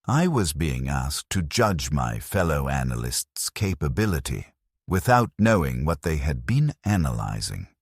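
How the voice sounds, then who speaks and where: A man narrating a first-person story in a measured, grave and detached way. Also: very deep voice, big pitch swings, husky, dry recording, studio quality.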